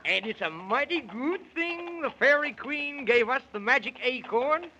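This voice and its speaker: Excitable, very high-pitched moon man. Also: measured pace, heavily acted. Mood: flustered. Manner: exclaiming, rhyming, comically fussy. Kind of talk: 1930s children's radio serial acting. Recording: muffled, hissy 1930s radio recording.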